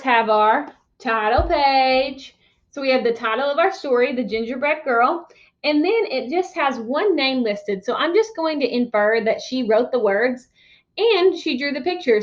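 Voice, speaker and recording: A woman with a high voice reads a children's picture book as a teacher would. She is expressive and instructive, checking in with questions. The recording is a bit dull and lo-fi. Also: normal speed. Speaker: teacher reading aloud. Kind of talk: picture-book read-aloud for young children. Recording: dull, muffled lo-fi sound.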